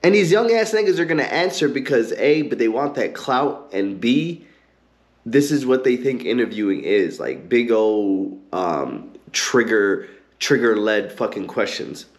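A man hosting his own podcast, ranting heatedly and cursing a lot, with an occasional stutter and pauses filled with um and like. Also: medium pitch, lively intonation. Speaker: podcast host. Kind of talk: ranting commentary.

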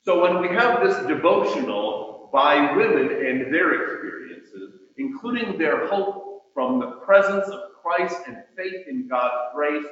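A male preacher with a fairly high-set voice speaks slowly and deliberately, giving weight to his phrases. He is heard from a distance in a very reverberant room as he delivers his sermon. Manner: measured, deliberate phrasing with emphatic pauses. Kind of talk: sermon with read-out quotations. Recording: echoey room, distant muffled mic.

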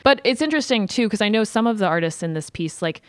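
A woman's voice: medium pitch, animated, fast talker, dry recording, studio quality. A woman speaking as a podcast panelist, telling things in an informal, conversational way peppered with "like". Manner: informal, frequent use of "like". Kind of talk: casual conversational storytelling.

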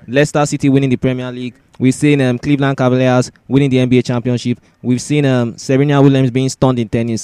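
A man's voice: mid-range voice, mellow, clear, resonant tone, no echo. A man hosting a sports show, running through results and predictions in a roundup style.

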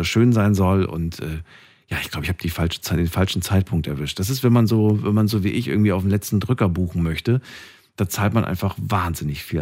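Male radio call-in host, low-pitched, chatty, quick to laugh with callers. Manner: chatty, laughs often, lively pitch swings. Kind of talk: late-night radio phone-in chat. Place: radio studio.

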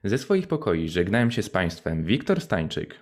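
A man's voice: low voice, big pitch swings, normal speed. A man co-hosting a radio show, presenting from a prepared script.

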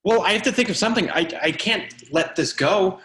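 A man playing a nervous, lovestruck guy in a play reading, speaking in a halting, earnest way. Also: high voice, sharp tone. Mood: flustered. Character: Sam, nervous lovestruck man confessing to a friend. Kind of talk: readers theatre play reading.

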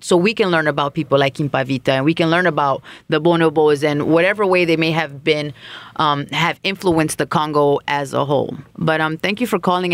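Smooth, clear man hosting a call-in show. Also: a little high, a bit bright, no echo.

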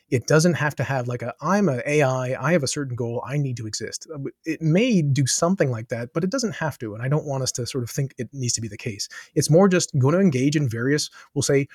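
A man's voice: mid-range voice, darkish tone, breathy edge, no echo, clean studio sound. A man answering as a podcast guest, thinking aloud with many asides and restarts. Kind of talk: conversational interview answers.

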